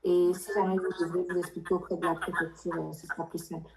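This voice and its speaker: A woman with a fairly low voice works as an adviser in a live question-and-answer workshop. She speaks spontaneously in a directive, insistent way and often puts probing questions. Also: little pitch movement, quick pace, background noise. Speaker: adviser leading a workshop for international students.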